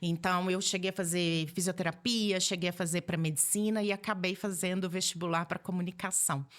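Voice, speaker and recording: A woman in her forties speaks with a Brazilian accent from Minas Gerais. Her voice sits slightly low for a woman. She talks casually and chattily, like a guest telling her own story in an interview. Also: fairly even intonation, fairly quick. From Belo Horizonte, Minas Gerais, Brazil. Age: 40s. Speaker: podcast guest, holistic therapist.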